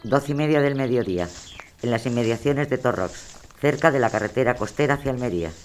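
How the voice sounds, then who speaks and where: A man giving scripted narration of times and places in a radio drama, measured and announcing. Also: mid-range voice, soft background music.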